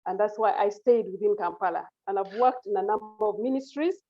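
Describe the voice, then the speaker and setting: A woman's voice: medium pitch, brisk. A middle-aged woman from northern Uganda with a Ugandan accent, speaking formally and politely as an interview guest over a video call. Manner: formal and courteous, addresses the host as Mister. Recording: muffled video call audio.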